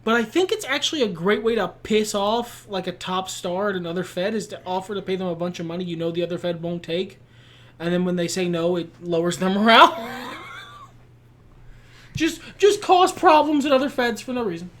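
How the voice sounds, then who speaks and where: A man's voice: sits high, animated, brisk, fairly bright tone. Man explaining game plans casually. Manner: explanatory, suggests plans step by step. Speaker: co-host playing a wrestling booking game. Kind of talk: casual gaming chat.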